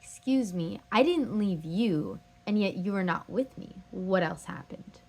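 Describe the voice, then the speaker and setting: A woman's voice: medium pitch, lively intonation, unhurried, a little mellow. Woman doing a heartbroken dumped girlfriend from a play, pleading and insistent, mid voice, repeats herself hard. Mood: anguished, accusing. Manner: emphatic, repetitive, pleading. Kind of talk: stage drama scene and monologue.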